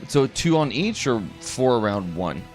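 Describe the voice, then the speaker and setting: A man's voice: medium pitch, very animated, a little slow, low-quality recording. A man running a live tabletop role-playing game as game master, switching between narration and rules talk. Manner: hums and mutters while working out rules. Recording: music playing behind the voice.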